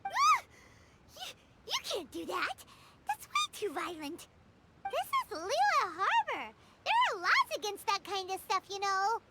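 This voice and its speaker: A woman voicing a tiny, childlike, excitable fairy companion in an anime-style video game, with exclamatory speech. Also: unhurried, very noisy, heavily acted. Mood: scolding, shocked. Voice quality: squeaky, childlike. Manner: refers to herself in third person, exclamatory.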